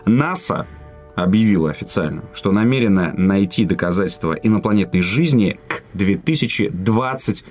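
A man's voice: on the low side, animated, moderate pace, a little mellow, soft background music. A man co-hosting a casual podcast, reading out news with crude jokes and stumbling restarts. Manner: crude joking, hesitant restarts.

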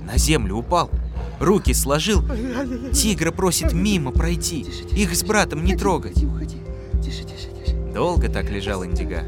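A man narrating a folk tale in a calm, rhythmic storytelling voice. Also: on the high side, unhurried, long pauses. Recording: clear music behind.

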